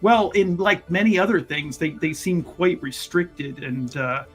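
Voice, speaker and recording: Casting: grandiose would-be seducer and rescuer played at a gaming table, medium pitch, stumbling yet flowery, music underneath. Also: natural voice. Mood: sheepish boasting. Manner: halting, grandiose, flowery wording. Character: flirtatious, self-important would-be rescuer in a fantasy game. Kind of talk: improvised in-character tabletop roleplay.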